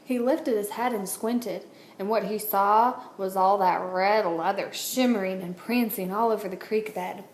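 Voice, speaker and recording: A woman's voice: mid-range voice, fairly even intonation, unhurried. A woman, a teacher, reading a children's storybook aloud indoors. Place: indoors at a wooden table, book on camera. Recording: roomy echo, clear background noise.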